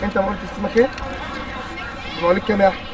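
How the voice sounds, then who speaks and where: An Egyptian-accented man coaching youth tryouts outdoors, firing off quick questions and orders. His voice sits high and races along. He is caught from a distance over a loud crowd. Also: flat delivery. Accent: Egyptian Arabic. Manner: brisk, calls out orders and questions. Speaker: football coach. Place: outdoor football pitch. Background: crowd of children and parents.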